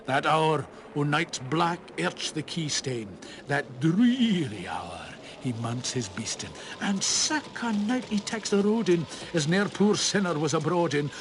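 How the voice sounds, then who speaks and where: A man giving a dramatic, theatrical recitation of a narrative poem, swinging between hushed and roaring delivery. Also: fairly high pitch, expressive, measured pace, few pauses, noisy background. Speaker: poetry reciter.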